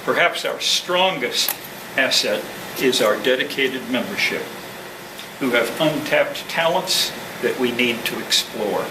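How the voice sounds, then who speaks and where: A man speaking as club president, reading a formal, measured speech to a meeting. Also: medium pitch, animated, distant mic. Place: indoor club meeting room. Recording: echoey meeting room from afar, noisy hum.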